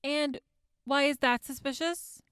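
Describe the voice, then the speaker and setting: Female prosecutor, slow, clear, probing, formal, dry close sound, audio drama. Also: sits high, fairly even intonation, fairly bright tone, no echo. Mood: probing, measured. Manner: deliberate, pointed, formal questioning. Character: composed, probing woman prosecutor in a wasteland town court. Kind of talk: audio drama courtroom acting.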